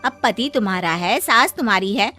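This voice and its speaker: A woman's voice: mid-range voice, animated, moderate pace, sharp tone, faint music behind. A woman playing a snobbish, evasive married sister-in-law in a cartoon story, condescending and dismissive.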